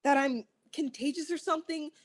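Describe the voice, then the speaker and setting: A woman's voice: high-pitched, fairly quick, close to an everyday voice. A woman playing a spoiled, popular teenage girl at a table read, whiny and pleading with her father. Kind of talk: table read of an amateur play.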